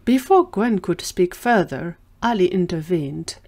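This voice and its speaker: A woman's voice: fairly low pitch, expressive, a little slow, a little mellow. A woman narrating a scripted fiction story for an audio drama.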